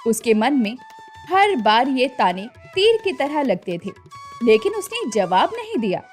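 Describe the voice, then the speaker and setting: A woman narrating a scripted children's cartoon story in a slow, expressive way. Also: high voice, faint music behind.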